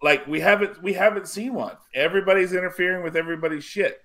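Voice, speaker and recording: A man hosting a casual wrestling panel discussion, talking in a halting, conversational way and often repeating himself. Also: sits high, a bit bright. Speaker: wrestling podcast host.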